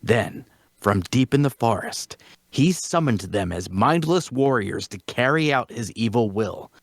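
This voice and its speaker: A man's voice: medium pitch, expressive, unhurried, dry recording. The puffed-up hero holding forth in a fantasy audio drama, swooping through his grand tale in dry, close sound. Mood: grave, dramatic. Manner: grandiose, theatrical storytelling. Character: self-important male adventurer spinning a heroic tale. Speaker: actor at a table read. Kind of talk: dramatic fantasy dialogue reading.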